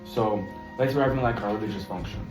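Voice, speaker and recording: A man's voice: lowish voice, fast talker, far from the mic. A young man from Jamaica, a college freshman, chatting casually in an interview and calling the interviewer bro. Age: young adult. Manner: relaxed, says bro a lot. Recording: roomy, off-mic, music behind.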